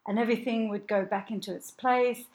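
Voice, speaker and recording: A woman's voice: on the high side, fairly even intonation, fairly quick, darkish tone. A woman co-hosting a podcast, chatting and laughing at herself while shivering in a cold apartment full of moving boxes. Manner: laughing, self-deprecating, storytelling. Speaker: podcast co-host. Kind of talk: casual chat.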